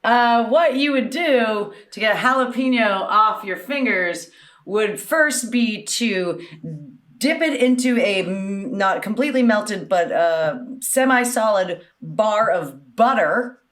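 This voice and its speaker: Medium-pitched woman giving mock instructions. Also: animated, takes it slowly, a bit bright. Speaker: quiz panelist. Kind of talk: improvised mock-instructional comedy.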